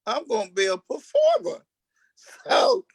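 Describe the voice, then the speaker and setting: A man's voice: extremely high pitch, huge pitch swings, unhurried, no room sound, low-quality recording. A man who acts on stage, casually telling stories about himself as an interview guest. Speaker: stage actor being interviewed. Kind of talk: casual storytelling.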